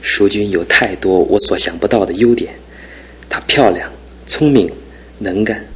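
A man's voice: lowish voice, unhurried, a bit bright, slightly rough, full character voice. An actor playing a young husband recovering from an injury, talking sincerely and gratefully to his mother in a radio drama. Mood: earnest. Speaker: son and husband.

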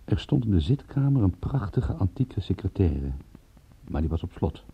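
An actor playing a wry private detective who narrates his own case in a husky, gravelly, sardonic voice for a radio drama. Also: low voice, very animated, normal speed, exaggerated voice. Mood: wry, confiding. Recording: dull radio drama recording.

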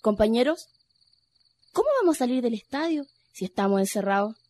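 Woman doing fretful chilean lady in radio drama, highish voice, complaining. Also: expressive, brisk, darkish tone. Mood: anxious complaint. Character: anxious, complaining working-class woman lugging her bedding. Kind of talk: radio play acting.

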